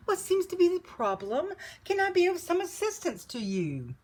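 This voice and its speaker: Storybook big bad wolf, played by a woman: courteous, indignant, dramatic, husky edge, wide swings in pitch. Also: lively intonation, normal speed, fairly bright tone, heavily acted. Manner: polite, emphatic, dramatic. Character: indignant, wounded, well-mannered male big bad wolf.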